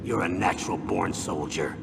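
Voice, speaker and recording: An actor playing a stern, persuasive ageing army colonel in a video game, grave and deliberate as he briefs. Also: low-pitched. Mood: earnest, persuasive. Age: middle-aged. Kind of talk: video game cutscene voice acting. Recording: long reverb, clear background noise.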